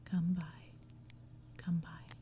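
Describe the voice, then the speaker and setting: A woman's voice: fairly low pitch, little pitch movement, drawn-out words, dark tone. Woman whispering as a sly coaxing goblin. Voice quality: breathy whisper. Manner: coaxing, sing-song list chant. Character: sly little goblin fruit sellers, luring maidens.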